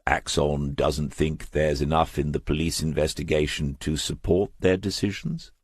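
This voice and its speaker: A man's voice: rumbling low, steady tone, normal speed, husky, no room sound. A man voicing a shrewd retired detective in a crime audiobook, with a dry, understated, probing manner.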